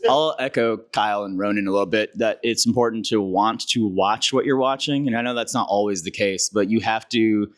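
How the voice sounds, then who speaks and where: A man's voice: fairly low pitch, a little fast, few pauses, no room sound. Earnest man, dry close sound. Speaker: reactor, content creator. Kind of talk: casual panel chat.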